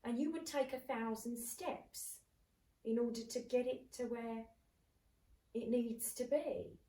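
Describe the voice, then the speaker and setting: A woman's voice: a little high, slow, far from the mic. A woman in her thirties co-hosting a casual chat, talking animatedly and acting out what people said. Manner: animated, acts out quoted speech. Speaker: podcast co-host. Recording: echoey room, off-mic.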